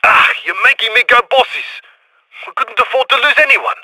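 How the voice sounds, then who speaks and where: An actor playing a cheerful heist handler, calling out quick game voice lines full of slang and tag questions. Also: male voice, very high voice, animated, sharp tone, a little hiss or hum, exaggerated voice, low-quality recording. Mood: upbeat. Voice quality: chirpy, slangy, ends lines with "yeah?". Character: cheerful adult male heist handler on radio.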